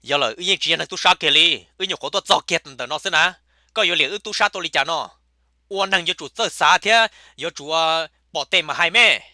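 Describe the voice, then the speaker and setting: A man voicing a comic male cartoon character who chatters in a rapid, emphatic way. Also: a little high, sharp tone, no room sound, clean studio sound. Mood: chatty.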